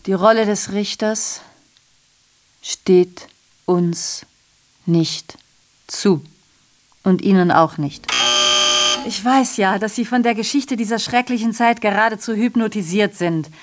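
An actress playing an ironic, rambling messenger in a radio drama monologue, sardonic, declamatory and interrupting herself. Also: female voice, medium pitch, slow pace, long pauses, slight background noise, close to an everyday voice. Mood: accusing, ironic. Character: ironic, rambling female messenger addressing the audience.

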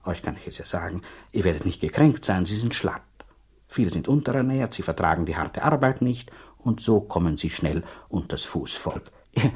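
A blunt, condescending country dean in a radio drama. The voice is low and husky and swings widely in pitch. He talks on emphatically, haltingly, repeating himself with open scepticism. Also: darkish tone, full character voice. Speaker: actor in a radio play. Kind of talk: German radio drama acting.

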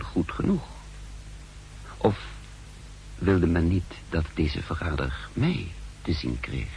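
A man playing a secret agent who recounts his mission in formal, measured words in a 1960s radio play. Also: low voice, gravelly, heavily acted, low-quality recording. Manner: formal, measured, literary diction. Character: uneasy male secret agent impersonating his double, recounting events. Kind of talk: 1960s radio play first-person narration. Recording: hissy, humming 1960s radio recording.

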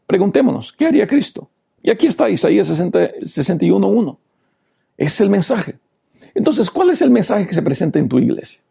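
Gravelly pastor. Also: male voice, sits high, mellow. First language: Portuguese. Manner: preachy, repeated rhetorical questions. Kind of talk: Bible lesson commentary.

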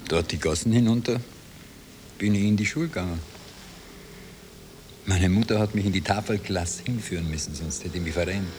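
A weary Viennese impostor husband acted by a man in an old radio drama. His low voice is terse and ironic, with an edge of exasperation, on a clearly noisy tape. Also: moderate pace, long pauses, darkish tone, close to an everyday voice. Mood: wry, reminiscent. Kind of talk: 1960 radio play acting.

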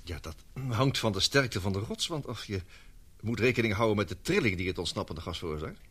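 A man's voice: fairly low pitch, animated, brisk, fairly bright tone, breathy edge. A man acting in a radio drama as a courteous, cautious technical expert who weighs things carefully. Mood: cautious, assessing.

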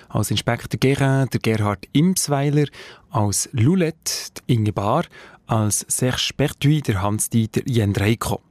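Formal Swiss radio announcer, dry sound. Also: male voice, medium pitch, darkish tone, no room sound. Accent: Swiss German. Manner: formal, brisk. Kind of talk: credits announcement.

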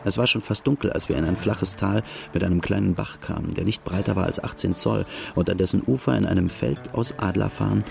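Radio drama: outlaw recalling boyhood, very gravelly, wry, reflective narration over faint music. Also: male voice, low-pitched, a little fast, few pauses. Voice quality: very creaky, gravelly.

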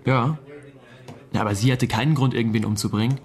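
A man's voice: medium pitch, brisk, natural voice. An actor playing a smug, argumentative young man in a radio drama, confident, insistent and matter-of-fact. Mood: cocksure. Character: cocksure young know-it-all, argumentative, smug.

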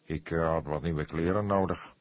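A man's voice: sits low, fairly even intonation, normal speed, dry recording, natural voice. A man voicing a terse, nonchalant, sullen young petty thief in an audiobook. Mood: offhand. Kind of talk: audiobook character dialogue.

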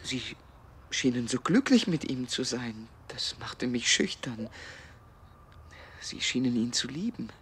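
A man acting a smooth-talking, opportunistic suitor in a German radio drama, earnest and courting, with hesitant moments. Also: medium pitch, big pitch swings, measured pace, pauses often, a bit bright, heavily acted. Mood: careful, probing.

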